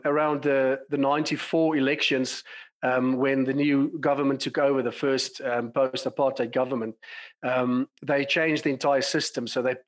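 A man in his forties with a South African accent, a clinical psychologist talking through his career as a podcast guest, thoughtful and full of small fillers. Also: mid-range voice. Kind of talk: conversational interview.

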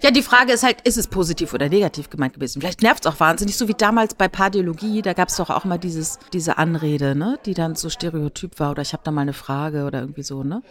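A woman's voice: deep voice, quick pace, few pauses, fairly bright tone. A woman co-hosting a podcast, talking in a casual, conversational style. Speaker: podcast co-host.

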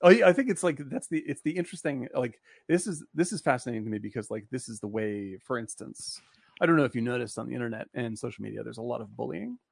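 A man with a gravelly, fry-tinged voice chats about a movie in a rambling, animated way. The sound is dry and close, with no room around it. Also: mid-range voice, fast talker, dry recording. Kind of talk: casual film discussion.

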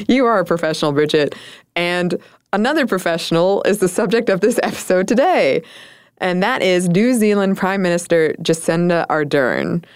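A woman's voice: lowish voice, expressive, moderate pace, clean tone, dry recording, studio quality. A woman co-hosting a casual podcast, chatty and thoughtful, laughing often. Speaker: podcast co-host.

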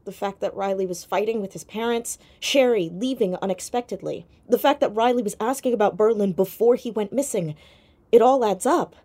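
An actress in a naturalistic audio drama playing a clever, persistent young amateur sleuth who is earnest, hesitant and probing. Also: female voice, mid-range voice, a little fast, warm tone, lightly acted. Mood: cautious, earnest.

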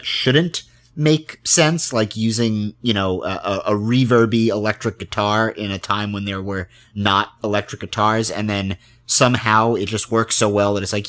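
A man with a somewhat low voice works as an interviewer in a relaxed, conversational style. He talks haltingly, circling and rambling as he thinks out loud. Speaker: interviewer, podcast host. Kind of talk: casual interview chat.

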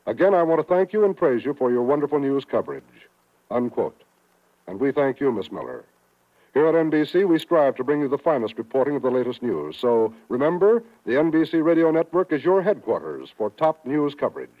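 American male announcer, 1950s radio, formal, emphatic, lively intonation, tinny narrow-band recording. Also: medium pitch, brisk.

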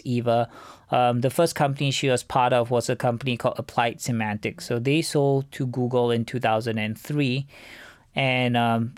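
Man, mid-pitched, measured interview guest, explanatory, businesslike, dry close sound. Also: dry recording. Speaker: venture capital fund co-founder, interview guest.

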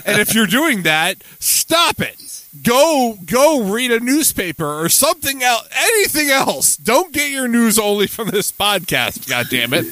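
A man's voice: extremely high pitch, very animated, unhurried, sharp tone. A man co-hosting a casual political podcast, blunt and swearing, stumbling over hard words as he reads aloud. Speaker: podcast co-host.

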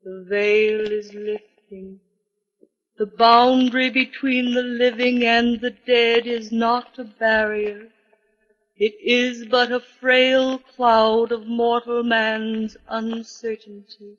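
A woman's voice: fairly high pitch, a bit bright. An actress playing a dramatic, scheming female spirit medium in a theatrical 1940s radio style. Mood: solemn, chanting. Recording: dull 1940s radio recording.

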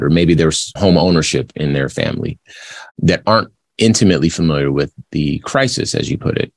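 A man's voice: rumbling low, dry recording. A man hosting a podcast interview, effusive and loose, with fillers and repeated words. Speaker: podcast host. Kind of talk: conversational interview.

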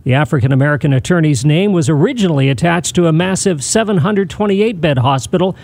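A man's voice: on the high side, animated, fast talker, creaky, a little mellow, no echo. A man delivering a scripted radio news report as a reporter.

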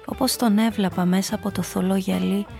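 Actress as composed noir hostess over music. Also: female voice, medium pitch, steady tone, creaky, mellow, lightly acted. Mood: composed, knowing. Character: cool, calculating nightclub hostess narrating her own story. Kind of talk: first-person noir radio play narration and dialogue.

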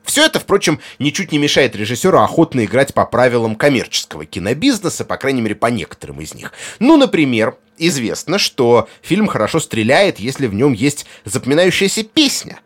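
Man, mid-pitched voice, animated intonation, articulate scripted podcast narration, emphatic phrasing. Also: fairly quick, hardly any pauses, husky. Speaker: podcast host.

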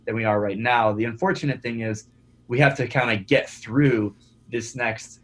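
A young man, a startup founder, talking casually as a podcast guest, energetic and often repeating his first words. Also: mid-range voice, moderate pace. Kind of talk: conversational podcast interview.

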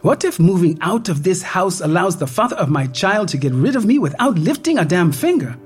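A narrator voicing a distraught, defiant pregnant young woman whose lines jump to sharp, high outbursts. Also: sing-song, measured pace, breathy edge, faint music behind, heavily acted. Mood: pleading.

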